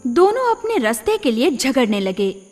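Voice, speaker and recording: A woman storyteller reading scripted children's tales in a deliberate, expressive manner. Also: sits high. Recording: long reverb, music behind.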